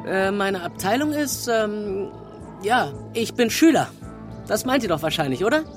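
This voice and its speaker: Radio drama lead: puzzled, snarky schoolboy, slow speech with lively pitch. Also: male voice, soft background music. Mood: confused, sarcastic. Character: confused, sarcastic schoolboy waking in a virtual world.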